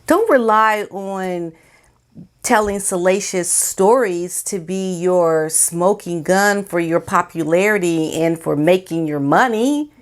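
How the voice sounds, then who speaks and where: Sassy American woman, slightly low voice. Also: takes it slowly. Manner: sassy, emphatic, colloquial. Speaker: vlogger giving opinions. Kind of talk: informal opinion commentary.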